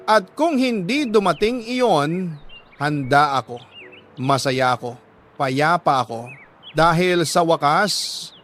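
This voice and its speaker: Male, Filipino accent, high-pitched, earnest storytelling host, dramatic letter narration, deliberate pauses, light noise behind. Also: a bit bright. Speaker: radio storytelling host reading a listener's letter.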